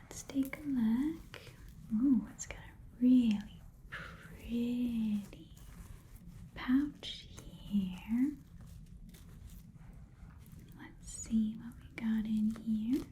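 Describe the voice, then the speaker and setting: A woman's voice: on the high side, extremely slow, dark tone, a little hiss or hum. A woman playing a small, blunt goblin pawnshop keeper in a casual, muttering ASMR roleplay whisper. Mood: haggling, dismissive. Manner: rambling, casual, muttering, slangy. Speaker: ASMR performer playing a shopkeeper.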